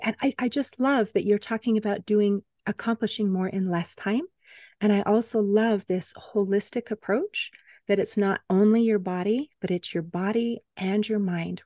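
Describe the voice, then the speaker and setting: A woman's voice: medium pitch, warm tone, dry recording, clean studio sound. A woman hosting a podcast who responds to her guest with enthusiastic, affirming remarks. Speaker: podcast host. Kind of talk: casual interview talk.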